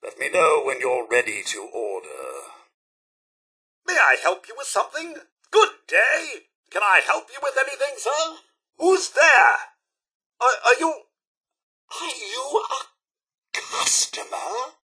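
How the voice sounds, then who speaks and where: A man's voice: high-pitched, pushed and bright, breathy edge, heavily acted. A man playing a fussy, prim robot butler in a video game, with a pompous, over-polite, theatrical delivery. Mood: eager, polite, then hesitant. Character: fussy, prim male robot butler, servile yet snide.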